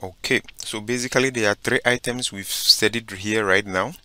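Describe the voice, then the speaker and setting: A man giving a step-by-step software tutorial, narrating his screen and often saying "okay" and "so basically". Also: on the low side, steady tone, normal speed, no echo. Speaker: instructor.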